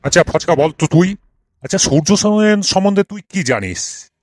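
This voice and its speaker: A man's voice: sits high, animated, moderate pace, darkish tone, dry recording, studio quality. A man playing a cranky old schoolmaster in a comic cartoon, scolding and ranting with exasperated, theatrical rhetorical questions. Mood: pompous lecturing. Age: older.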